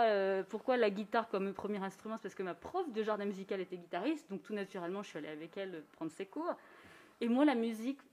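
A woman musician speaking in a thoughtful, earnest way during a radio conversation, sometimes laughing softly. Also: mid-range voice. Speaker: musician guest. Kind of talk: reflective conversation.

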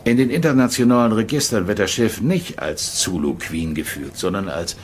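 Radio crime play: male actor as brisk police superior, very low register, familiar and persuasive with his men. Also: animated, a little hiss or hum, close to an everyday voice. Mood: businesslike briefing. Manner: businesslike, coaxing, familiar. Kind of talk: crime audio drama dialogue.

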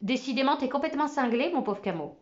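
A woman voicing an amused but firm male schoolteacher, speaking dryly and calmly in a storybook reading. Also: sits high, fast talker, pitched above the performer's own voice. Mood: affectionate. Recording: slightly muffled recording.

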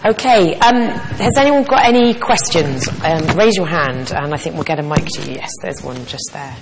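A woman with a very low voice chairs a conference session and briskly calls on the audience for questions. Clear background noise from the hall runs under her words. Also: expressive. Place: large conference hall stage.

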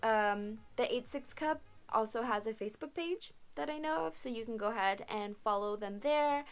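A woman's voice: fairly high pitch, normal speed. Chatty young woman vlogging from her bedroom. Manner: chatty, frequent "um" and "like", laughs often. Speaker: car vlogger answering viewer questions. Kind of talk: casual Q&A vlog. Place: small bedroom, indoors.

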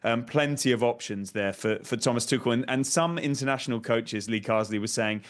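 A man in his thirties, a football correspondent, speaking carefully with many ums over a video call at a desk mic. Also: medium pitch. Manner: measured, hesitant with ums and repeated words. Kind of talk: remote studio discussion. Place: home room. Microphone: desk microphone on video call.

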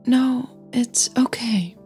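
A woman voicing an uneasy, polite young woman singer in an audio drama, with soft, breathy delivery. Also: on the high side, slow pace, soft background music, pitched above the performer's own voice. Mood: forced calm.

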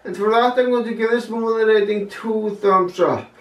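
A man's voice: high-pitched, fairly bright tone. Young male vlogger, plain-spoken film reviewer, curses casually; recorded at a distance in a roomy space. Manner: blunt, swears freely, measured delivery. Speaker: movie reviewer. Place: small plain room indoors, seated.

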